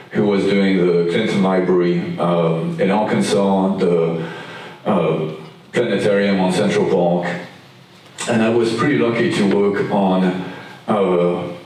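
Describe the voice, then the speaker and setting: A man with a Belgian French accent and French as his first language, speaking on an architecture panel with many pauses and ums. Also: sits low, darkish tone. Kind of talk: panel talk. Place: large event room. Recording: echoey room, distant mic.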